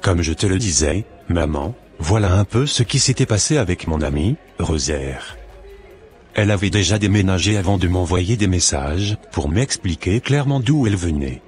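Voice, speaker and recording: A man's voice: low-pitched, lively intonation, normal speed, a little mellow, faint music behind. A man playing a cautious, devout young son in scripted cartoon dialogue, speaking earnestly as if advising. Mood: relieved.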